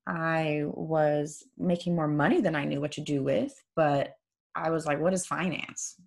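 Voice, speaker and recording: Casting: gravelly, low woman in her 40s, Farsi her first language, lively chat host who swears casually. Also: unhurried. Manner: animated, laughs often, swears casually. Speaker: author and podcast host. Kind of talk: casual conversational interview.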